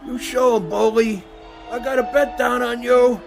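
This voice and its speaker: A man's voice: extremely high pitch, fairly even intonation, measured pace, creaky, over music, exaggerated voice. An actor playing a good-natured, punch-drunk journeyman boxer in a radio drama. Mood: eager, wistful.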